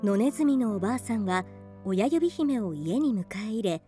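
A woman narrates a children's tale as scripted radio drama. Her voice is soft-toned and a little high, with an animated melody. Faint music plays behind her. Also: normal speed.

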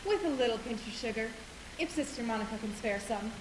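A woman's voice: fairly high pitch, animated, a little fast, close to an everyday voice. A young woman on stage playing an anxious, deferential nun. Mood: dutiful. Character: anxious, deferential nun serving a Mother Superior. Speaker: student stage actor. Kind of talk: stage play acting. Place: school auditorium stage, far camera. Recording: echoey, hissy stage recording.

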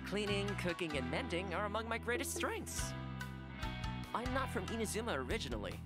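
A man's voice: high voice, big pitch swings, fairly quick. A man playing a cheerful, courteous young housekeeper warrior in a video game, friendly and easygoing. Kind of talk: video game character trailer. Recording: loud guitar music behind.

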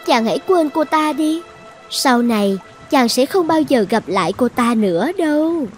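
A woman's voice: high voice, animated, moderate pace, a little mellow, faint music behind. A woman voicing a possessive, lovesick sorceress princess in a cartoon fairy tale, commanding and coaxing with an edge of menace. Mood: defiant, possessive.

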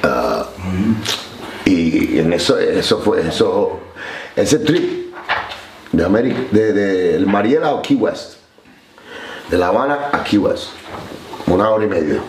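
A Cuban man in his forties with a Cuban Spanish accent, telling stories in a relaxed way and mixing in English slang. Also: deep voice, slow, pauses often, echoey room, noisy background. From Cuba. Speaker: interview guest. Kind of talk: storytelling interview. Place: studio set, seated on couch.

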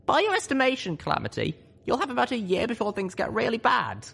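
A man's voice: extremely high pitch, wildly swooping pitch, fast talker, creaky, a bit bright, husky, voice pushed up, full character voice. A man voicing an earnest, selfless young female pony heroine in an audiobook story. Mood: sad, resigned.

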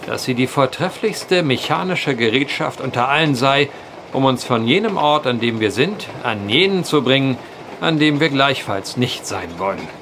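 An actor playing a polite, wry gentleman traveler with old-fashioned, wordy phrasing in a German audio drama. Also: medium pitch, lively intonation, normal speed, background noise, lightly acted.

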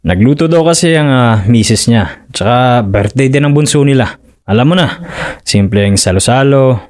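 An actor playing a proud, stubborn fisherman husband in a radio drama, who talks easily but quickly turns forceful and loud. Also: medium pitch, expressive, lightly acted. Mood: tired, easygoing. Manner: easygoing at first, then heated and raising his voice.

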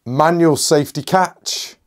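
A man, reviewing an air rifle in an enthusiastic, chatty way while hoarse and a bit stuffy from a cold. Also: a little high, unhurried. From United Kingdom. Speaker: airgun reviewer. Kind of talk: casual product review.

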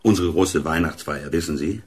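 Casting: affable, busy military officer, husky low voice, radio drama. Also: male voice, normal speed, a little mellow.

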